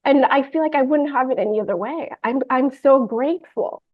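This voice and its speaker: Woman guest on a podcast, higher voice, kinda muffled lo-fi sound, earnest, lots of ums. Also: a little fast. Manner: earnest, searching for words with frequent fillers. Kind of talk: conversational interview chat.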